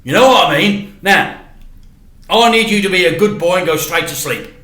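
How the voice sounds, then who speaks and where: Storybook father, acted by a man: harried, evasive, matey yet insistent, raised forceful voice with lively pitch. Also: normal speed, roomy sound. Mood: bossy. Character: harried, evasive working-class father putting son to bed. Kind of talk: children's storybook read aloud.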